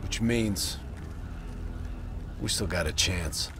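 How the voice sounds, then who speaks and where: A man playing a gruff, cynical, defiant soldier in a dramatic video game scene. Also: low-pitched, slow, music in the background.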